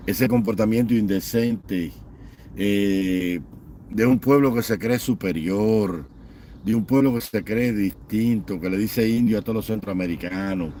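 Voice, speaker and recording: A man's voice: fairly low pitch, a little slow, dark tone, a little hiss or hum. Fiery man ranting. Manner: fiery, repetitive listing, mocking. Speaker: political commentator. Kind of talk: impassioned political rant.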